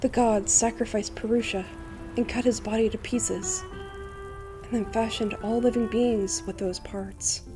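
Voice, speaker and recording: A woman voicing a gentle, playful boyish bard with poised, lyrical storytelling in a theatrical manga dub. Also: fairly high pitch, steady tone, a little slow, over music, close to an everyday voice. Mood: lyrical, reverent.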